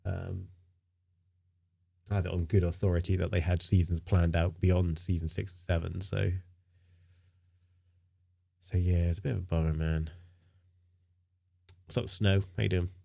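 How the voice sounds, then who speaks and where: A man's voice: low voice, fairly even intonation, unhurried, gravelly, pauses often, mellow. A man streaming live in casual chat, sounding nasal from a stinking cold, rambling with lots of fillers and repeated words.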